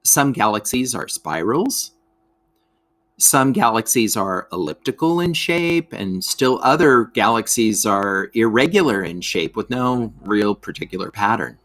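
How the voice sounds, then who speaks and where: A man reads an educational text aloud in a dry, close-sounding recording. His pitch moves up and down a lot as he explains things expressively to young listeners, like a teacher at read-aloud time. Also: lively intonation, unhurried, fairly bright tone, dry recording. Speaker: teacher reading a lesson aloud.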